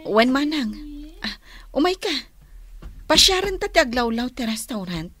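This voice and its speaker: A woman's voice: high voice, sing-song, moderate pace, fairly bright tone, exaggerated voice. A woman playing a confident young lady of a wealthy house in a Philippine radio drama. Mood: brisk, curious.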